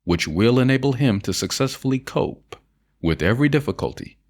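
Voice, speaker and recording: A man narrating an audiobook of an old self-help essay in a solemn, deliberate manner, stressing phrases and drawing out their endings. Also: medium pitch, expressive, darkish tone.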